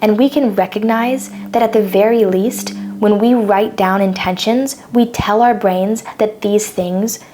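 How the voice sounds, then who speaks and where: A woman's voice: mid-range voice, steady tone, measured pace, hardly any pauses, mellow, slight background noise. A woman in her twenties, co-hosting a podcast and explaining ideas in a thoughtful, casual chat. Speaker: podcast co-host.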